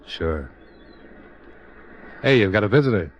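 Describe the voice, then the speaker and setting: An American actor playing a wry, easygoing insurance investigator with dry, understated wit in a 1950s radio drama. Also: male voice, fairly low pitch, expressive, measured pace, muffled recording. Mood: relaxed, teasing.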